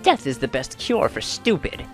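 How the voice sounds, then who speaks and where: A man voicing a fierce, foul-mouthed tiny old grandmother who scolds loudly and threatens roughly. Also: deep voice, wildly swooping pitch, moderate pace, faint music behind, pitched above the performer's own voice. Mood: fierce scolding. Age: older.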